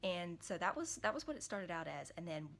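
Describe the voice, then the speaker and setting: A young woman, hoarse from a cold, chatting casually to camera in a small room, rambling with many fillers and restarts. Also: fairly low pitch, big pitch swings, fairly quick, a bit bright. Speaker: vlogger.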